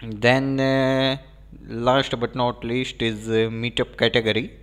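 A man with an Indian English accent giving a screen-recorded software walkthrough, pausing often with fillers. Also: medium pitch, little pitch movement, smooth. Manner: hesitant, frequent filler pauses. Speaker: software demo presenter.

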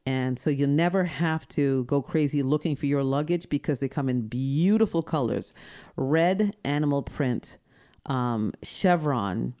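A woman's voice: very deep voice, a little slow, mellow. A woman hosting a podcast in a studio, chatting in an effusive, upbeat way. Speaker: podcast host. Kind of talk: casual chat.

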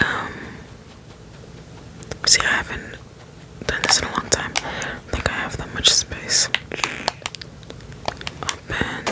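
A woman whispering soft, rambling ASMR commentary over gameplay in an unhurried way. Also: poor audio. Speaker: let's play streamer.

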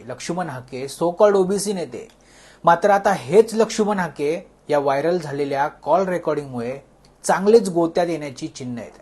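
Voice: medium pitch, darkish tone. A news presenter reading a scripted report and addressing the audience.

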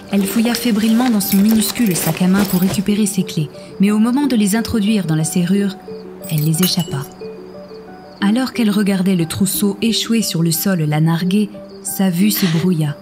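A woman reading scripted, dramatic story narration for an audio fiction. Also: mid-range voice. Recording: music behind the voice.

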